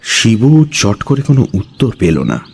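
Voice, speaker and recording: Slow, low-pitched man narrating suspense. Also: sing-song, vocal fry, darkish tone, husky. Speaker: story narrator. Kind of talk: dramatic scripted horror-story narration. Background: background music.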